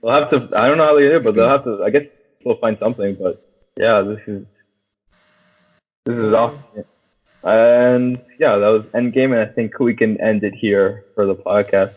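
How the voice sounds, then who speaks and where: Young male podcast co-host, low voice, slangy and enthusiastic, phone-like sound with long reverb. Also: fairly even intonation, moderate pace. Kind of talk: casual film discussion among friends.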